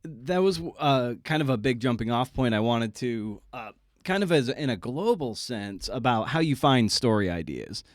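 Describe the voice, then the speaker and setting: A man's voice: medium pitch, expressive, moderate pace, dry recording, studio quality. A man hosting a podcast in a casual, conversational style with informal, colloquial phrasing. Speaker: podcast host.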